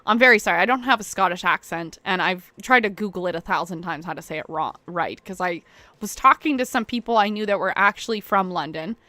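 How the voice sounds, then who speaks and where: A woman's voice: lowish voice, a little fast, bright, forceful tone, no echo. A young woman streamer, chatting casually and rambling, self-deprecating and laughing often. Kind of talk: casual chat while waiting on a stream.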